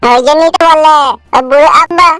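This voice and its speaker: Cheeky young son, played by a woman, quick, taunting cartoon delivery with music behind. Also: sits high, fairly even intonation, fairly bright tone, dry recording, studio quality. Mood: teasing. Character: cheeky, teasing young son mocking his father. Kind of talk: comedy cartoon voice acting.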